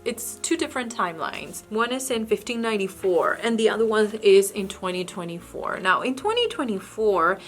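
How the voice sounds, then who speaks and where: A woman talks through her new books in a casual, chatty way. English is her second language, and she often restarts her sentences and hesitates. Her voice sits in a middle pitch range. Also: animated, moderate pace, hardly any pauses, faint music behind. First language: not English. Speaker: book vlogger. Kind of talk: casual book haul chat.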